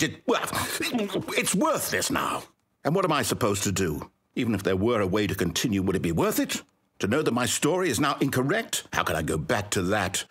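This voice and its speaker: Fussy British game narrator, hugely animated. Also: male voice, on the low side, slightly rough, exaggerated voice. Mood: despairing. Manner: articulate, wry, melodramatic. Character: fussy, pompous, needy omniscient storyteller of a video game. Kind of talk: theatrical scripted narration.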